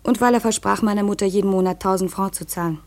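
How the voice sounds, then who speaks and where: A medium-pitched, sorrowful young widow played by an actress in a 1950s radio play. She speaks hesitantly and earnestly, as if confessing. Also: fast talker, close to an everyday voice. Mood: sorrowful, confiding. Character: troubled young widowed aunt, confiding and sorrowful.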